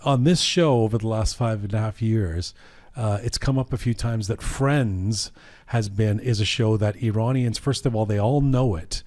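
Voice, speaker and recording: A man hosting a panel podcast, reflective and halting, often restarting his sentences as he thinks aloud. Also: on the low side, a little mellow. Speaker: podcast host. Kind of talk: panel discussion.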